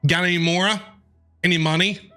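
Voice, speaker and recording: A woman's voice: fairly low pitch, fairly even intonation, brisk, pushed and bright, faint music behind. A woman streamer giving playful, heckling reaction commentary while playing a game.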